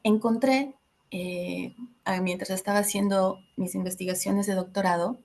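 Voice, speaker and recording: Woman from Ecuador, 30s, workshop facilitator; mid pitch, gravelly edge, thoughtful and halting delivery. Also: steady tone, darkish tone. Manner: reflective, many filler hesitations. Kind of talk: educational talk to a group.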